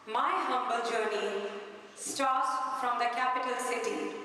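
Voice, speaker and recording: A middle-aged woman from India speaks very slowly from a stage, weighing each phrase and pausing for effect. Her voice sits a little high. The sound carries a long, roomy echo from a large hall. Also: bright, forceful tone. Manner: slow, deliberate, with pauses for effect. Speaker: invited speaker. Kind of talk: inspirational talk on stage. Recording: echoey hall, far from the mic.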